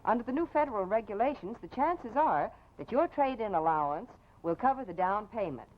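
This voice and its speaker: A woman's voice: mid-range voice, expressive, fairly quick. A woman announcer reading a scripted 1950s broadcast commercial in a polished, persuasive way. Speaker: advertising announcer. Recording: dull vintage broadcast with faint noise.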